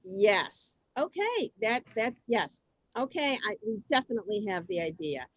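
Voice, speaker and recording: A woman with a high voice moderates a community show on a video call. She is flustered and halting, repeats herself, and sounds close and dry. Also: very animated, no room sound, highs cut off. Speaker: host of a community reader's theater show. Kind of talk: semi-scripted moderating.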